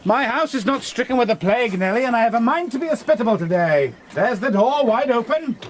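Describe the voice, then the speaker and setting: An actor playing a menacing, domineering master of a moorland house, mocking and quick to shout. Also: male voice, very high-pitched, lively intonation, a little hiss or hum. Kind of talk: radio drama acting.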